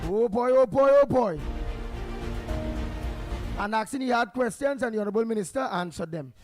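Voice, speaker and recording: Hoarse, high-voiced Trinidadian radio host. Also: male voice, normal speed, a little mellow, faint music behind. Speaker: radio talk show host. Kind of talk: call-in radio hosting.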